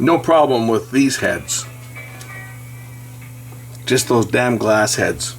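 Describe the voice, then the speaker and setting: A man's voice: medium pitch, lively intonation, soft background music. Middle-aged man thinking aloud while tinkering. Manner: unscripted, thinking aloud with hesitant pauses. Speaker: electronics repair hobbyist. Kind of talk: casual hands-on restoration commentary.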